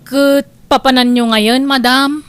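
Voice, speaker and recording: An actress with a Filipino accent playing a gossipy, sly housemaid who grumbles and wheedles in an audio comedy drama. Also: female voice, sits high, steady tone, unhurried, clear, resonant tone, dry recording. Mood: surprised, worried. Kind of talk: Ilocano comedy radio-style drama acting.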